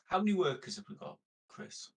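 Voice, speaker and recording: Brief: male tabletop player, husky mid-pitched voice, casual planning talk, slightly away from the mic. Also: big pitch swings, a little fast, fairly bright tone, distant mic. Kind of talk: casual tabletop game discussion.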